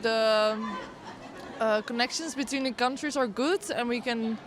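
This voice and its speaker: A woman's voice: on the high side, fairly even intonation, measured pace, a faint crowd. A woman in her twenties from the Netherlands, answering a street interview in an unsure, hesitant way. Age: 20s.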